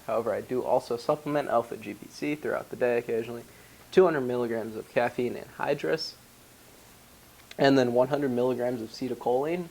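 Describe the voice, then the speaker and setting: A man's voice: mid-range voice, creaky, darkish tone, rough recording. A congested young man, slightly losing his voice, casually walking through the gear in his gym bag. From Wisconsin, USA. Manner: chatty, explains ingredient details. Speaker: powerlifting vlogger. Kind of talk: casual product walkthrough.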